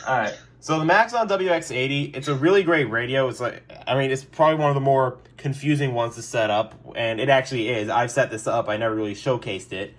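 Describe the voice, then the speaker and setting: A man's voice: medium pitch, brisk, hardly any pauses, slight background noise. A young man rambling casually through a review vlog in his bedroom, joking and dropping lots of fillers. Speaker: weather radio collector.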